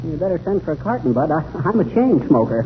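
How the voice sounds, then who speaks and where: An actor playing a brash, bumbling army major who wisecracks in slangy 1930s radio comedy style. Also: male voice, a little high, sing-song, fast, narrow, tinny audio. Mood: flustered, protesting. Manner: folksy slangy wisecracks, flustered protests. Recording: very noisy, phone-thin 1930s radio recording.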